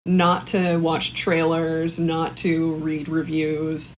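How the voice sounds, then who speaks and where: A woman's voice: low voice, completely flat delivery, slow, a little hiss or hum. A woman talking as a podcast guest in casual conversation, speaking informally with plenty of likes and the odd swear word. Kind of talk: casual chat.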